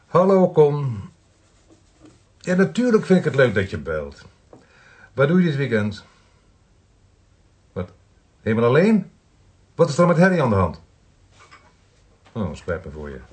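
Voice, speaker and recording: Tired sceptical cop in a radio drama, raspy, dry and curt but lively pitch. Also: male voice, a little slow, long pauses, exaggerated voice. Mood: weary. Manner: curt, dry, matter-of-fact. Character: weary, sceptical male police inspector, divorced. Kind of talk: radio play acting and narration.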